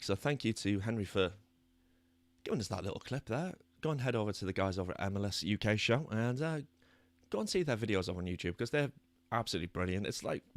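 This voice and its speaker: A British man hosting a soccer podcast in a chatty, self-deprecating way, his voice a little croaky. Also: fairly low pitch, no room sound. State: slightly croaky voice. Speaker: podcast host. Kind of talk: casual chat. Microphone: new microphone.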